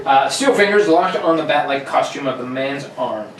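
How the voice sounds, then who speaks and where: A man's voice: mid-range voice, lively intonation, moderate pace, fairly bright tone. A man giving dramatic scripted narration in the style of a 1940s radio serial. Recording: roomy, distant microphone with faint noise.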